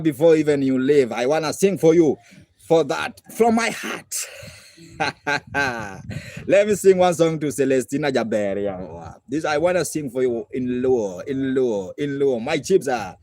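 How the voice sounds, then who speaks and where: A man's voice: on the high side, sing-song, a little slow, dry recording. A man with a Kenyan accent hosting a live music stream, effusively thanking supporters and repeating himself. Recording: loud traditional string and rattle music. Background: plucked traditional instrument and rattle.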